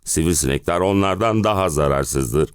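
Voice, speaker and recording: A man's voice: low-pitched, normal speed, smooth, no room sound, studio quality, natural voice. A male actor playing an exasperated, self-pitying middle-aged husband in a theatrical monologue, with melodramatic, comic complaining. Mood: aggrieved complaint. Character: exasperated, hen-pecked middle-aged husband ranting about summer-house misery. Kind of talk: theatrical one-man play monologue.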